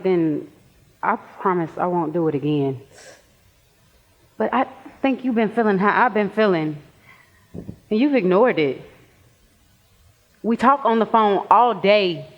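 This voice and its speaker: A woman's voice: mid-range voice, animated, unhurried, pauses often. A young woman acting in a stage play as an earnest, insistent teenage girl. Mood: earnest, nervous. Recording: dull lo-fi stage recording.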